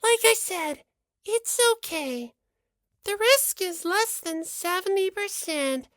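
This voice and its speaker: A performer voicing a timid yet sweetly pushy teenage girl nurse in an audiobook, coaxing in drawn-out phrases. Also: extremely high pitch, lively intonation, fairly bright tone, no room sound, studio quality, voice pushed up, heavily acted. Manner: sugary, coaxing, drawn-out delivery.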